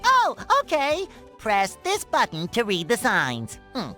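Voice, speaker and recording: A man's voice: high voice, wildly swooping pitch, slow pace, bright, forceful tone, full character voice. A man voicing a childlike, eager and naive sea sponge in a cartoon video game, earnest and gleeful. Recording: music bed behind voice.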